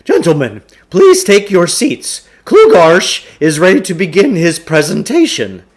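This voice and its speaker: A man's voice: sits high, huge pitch swings, measured pace, voice pushed up, exaggerated voice. A man voicing a pompous, grandiose storybook showman who lectures on his crackpot theories. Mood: grandly inviting. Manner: grandiose, speaks of himself in third person. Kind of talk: storybook character voice in a read-aloud.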